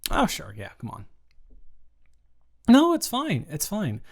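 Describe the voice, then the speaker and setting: A young man playing the listener's teasing, caring best friend in an improvised audio roleplay, rambling and correcting himself as he talks. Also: lowish voice.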